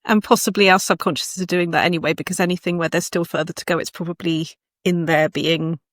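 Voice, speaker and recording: A woman's voice: on the low side, fairly even intonation, fast talker, no room sound, clean studio sound. A woman hosting a conversational interview, asking tentative, reflective questions that circle toward their point. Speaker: host, writing coach.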